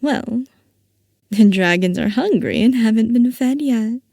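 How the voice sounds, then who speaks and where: Slow, mellow woman acting as a scheming scientist boss. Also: a little high, expressive, vocal fry, no room sound, clean studio sound, exaggerated voice. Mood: reassuring, fond. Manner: soft, coaxing, affectionate villainy. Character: playful scheming female scientist boss, possessive, doting on her assistant. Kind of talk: ASMR roleplay acting.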